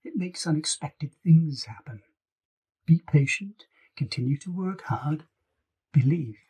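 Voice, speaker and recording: A man's voice: on the high side, lively intonation, warm tone, husky, exaggerated voice. A man voicing an affectionate old grandfather, firm and reassuring, in an audiobook reading. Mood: earnest, insistent. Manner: reassuring, emphatic, deliberate. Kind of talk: acted dialogue in an audiobook reading.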